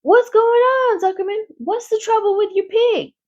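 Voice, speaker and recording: A woman voicing a grand, formal male announcer at a fair, speaking over a loudspeaker in a storybook reading. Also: very high-pitched, normal speed, pitched above the performer's own voice. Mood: alarmed. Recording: dull lo-fi recording.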